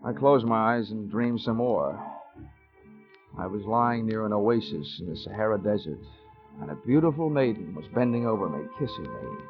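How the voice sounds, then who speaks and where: An actor playing a wry, hard-boiled American private investigator in an old radio detective drama. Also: male voice, lowish voice, lively intonation, moderate pace, over music. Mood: dreamy, wry. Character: wisecracking male hard-boiled private investigator, dry and unflappable. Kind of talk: 1940s-50s radio detective narration and acting. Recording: dull old radio recording.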